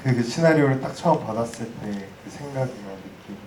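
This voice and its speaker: A man's voice: mid-range voice, expressive, brisk, a little mellow. A man moderating a post-screening audience talk, speaking casually and hesitantly with many restarts. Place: cinema hall. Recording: roomy, distant mic, faint noise.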